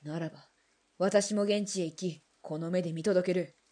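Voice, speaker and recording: A woman's voice: fairly even intonation, moderate pace, mellow, close to an everyday voice. A woman playing a defiant Russian ex-officer in a radio drama, blunt and challenging. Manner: blunt, challenging, low and clipped. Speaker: former Russian imperial soldier. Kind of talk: hobby radio drama acting.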